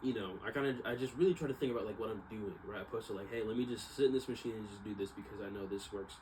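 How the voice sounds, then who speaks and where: A man's voice: on the low side, rapid-fire, a little mellow, a little hiss or hum, rough recording. A young American man telling a story casually, with a rambling, slangy manner, often correcting himself. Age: young adult. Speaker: vlogger, exercise science student.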